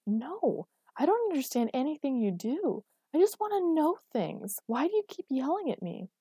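A woman's voice: mid-range voice, normal speed, warm tone, dry recording, studio quality, close to an everyday voice. A woman voicing a headstrong, dreamy and defiant woman with naive candour in a dramatic story reading.

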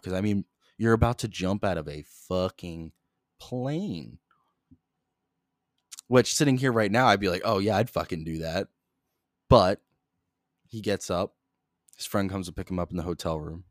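Low, monotone young man ranting solo. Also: no room sound, studio quality. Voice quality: monotone, heavy swearing. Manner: rambling, jumps between topics. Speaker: podcast host. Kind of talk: solo podcast rant. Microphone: close to the mic.

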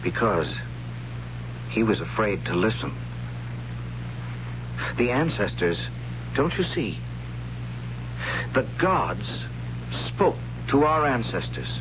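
A man's voice: on the low side, lively intonation, takes it slowly, pauses often. An actor playing a curious, tender young man of a future tribe in an old radio drama. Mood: earnest. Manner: earnest, wondering. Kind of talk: 1970s radio drama acting. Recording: lo-fi old radio recording with hiss.

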